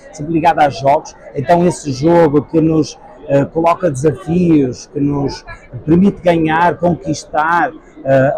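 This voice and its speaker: A man in his forties from Portugal, with a European Portuguese accent, answering interview questions in a measured way with frequent hesitations. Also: fairly high pitch, dark tone, low-quality recording. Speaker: guest, edtech sales executive. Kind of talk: trade-fair interview answers. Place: busy expo hall.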